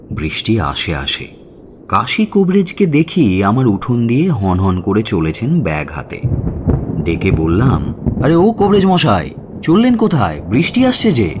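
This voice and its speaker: An actor playing a sceptical, educated villager who narrates a Bengali audio story in an expressive, wry way, with a Bengali accent. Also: male voice, low-pitched, slight background noise.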